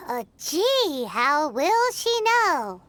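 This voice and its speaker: A woman's voice: high-pitched, very animated, a bit bright, exaggerated voice. A woman voicing a small childlike fairy companion in an anime game, cute and emphatic, blunt and a little bossy. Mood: skeptical, teasing. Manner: cute, emphatic, drawn-out exclamations. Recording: studio voice over game music. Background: playful tinkling music.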